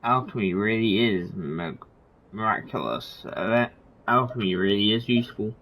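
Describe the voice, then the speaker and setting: A game streamer reading dialogue aloud and commenting in a halting, offhand, casual way. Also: mid-range voice, fairly bright tone, a little hiss or hum.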